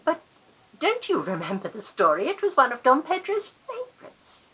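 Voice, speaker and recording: A woman's voice: sits high, huge pitch swings, moderate pace, bright, forceful tone, highs cut off, heavily acted. A woman acting a grand, gushing, easily affronted society aunt in a Victorian radio farce, theatrical and emphatic. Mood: coaxing.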